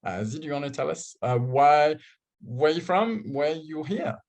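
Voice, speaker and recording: A man's voice: fairly high pitch, expressive, normal speed, a little mellow. A young man with a French accent hosting an online student panel over a video call, chatty and joking about himself, with plenty of ums. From France. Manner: chatty, self-joking, filler-heavy. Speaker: host.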